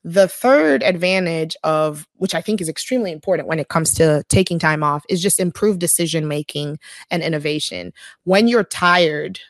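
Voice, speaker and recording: Low-voiced woman podcast host. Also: normal speed, no echo. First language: not Korean. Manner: conversational, practical, with frequent fillers. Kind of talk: solo business podcast talk.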